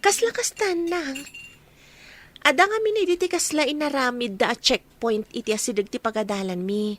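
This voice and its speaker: A woman plays an earnest young woman confiding in an elder. The voice is high and deferential, with an Ilocano accent, in radio drama style. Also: moderate pace, a bit bright, a little hiss or hum.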